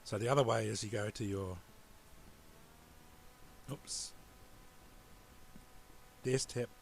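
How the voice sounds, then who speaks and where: A low-voiced man with a New Zealand accent walks through a computer tutorial in a relaxed, rambling way and often restarts his sentences. He speaks into a computer mic over a screen recording. A faint room hum sits behind his voice. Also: takes it slowly, creaky. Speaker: tutorial presenter.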